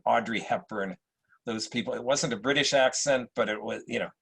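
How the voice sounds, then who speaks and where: A man with a mid-pitched, husky and gravelly voice talks like an actor in casual discussion. He explains at length, hesitantly circling his point. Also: brisk, a bit bright.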